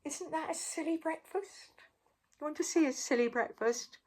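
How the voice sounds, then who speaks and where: An older woman reading a picture book aloud to young children in a playful, expressive way, with chatty asides to the listener. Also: high voice, moderate pace. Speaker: storyteller.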